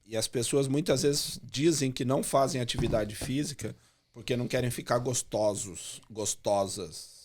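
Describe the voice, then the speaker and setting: A man's voice: medium pitch, moderate pace. Brazilian man in his fifties, chatty. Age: 50s. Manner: animated, slangy, peppers talk with "cara". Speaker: guest, personal trainer and missionary pastor. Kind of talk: casual podcast chat.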